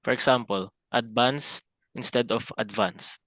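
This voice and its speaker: A man's voice: mid-range voice, fairly even intonation, measured pace, a bit bright, dry recording. A young man presenting a class report, reading steadily from notes. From Philippines. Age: young adult. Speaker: student presenter.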